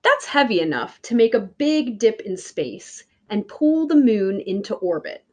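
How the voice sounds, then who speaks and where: Woman reading slowly, with animated pitch. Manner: deliberate, emphatic phrasing addressed to young listeners. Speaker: teacher reading a picture book aloud. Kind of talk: children's read-aloud.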